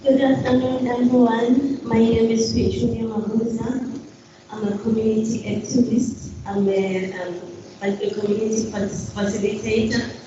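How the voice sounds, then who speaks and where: A woman's voice: fairly high pitch, flat delivery, normal speed, highs cut off. A South African woman community activist introducing herself briefly and plainly on a panel. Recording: roomy, distant, noisy hall.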